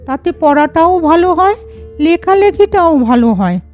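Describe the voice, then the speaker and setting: Woman playing a very high-pitched sensible schoolgirl. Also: sing-song, a little slow, darkish tone, highs cut off, soft background music, heavily acted. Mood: earnest, obliging. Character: sensible schoolgirl, dutiful big sister. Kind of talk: children's cartoon dialogue.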